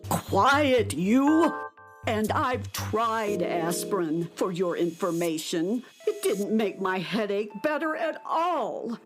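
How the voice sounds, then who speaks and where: Slow, imperious, ill-tempered alien queen, acted by a woman. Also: mid-range voice, very animated, music in the background. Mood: irritated, commanding. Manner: imperious, shushing, commanding. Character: bad-tempered stubborn alien queen with a headache, craving quiet. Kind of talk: children's audio drama acting.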